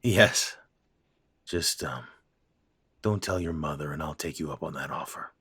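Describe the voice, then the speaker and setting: An actor playing a stiff, uneasy middle-aged father in an audio drama, talking in gruff, clipped lines. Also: rumbling low, unhurried, sharp tone, no room sound, exaggerated voice. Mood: joking.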